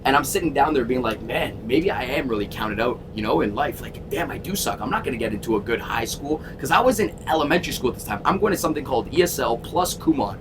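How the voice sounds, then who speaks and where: A man in his thirties from Toronto, a sales coach telling his story in a casual interview, energetic and emphatic, swearing freely. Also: fast talker, hardly any pauses. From Toronto, Canada. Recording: constant vehicle rumble.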